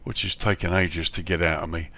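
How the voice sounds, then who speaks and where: Deep gravelly man with a cold. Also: brisk. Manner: relaxed, repeats praise, frequent ums. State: has a cold, slightly hoarse. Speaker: flight simulator reviewer. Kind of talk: casual scenery review voice-over.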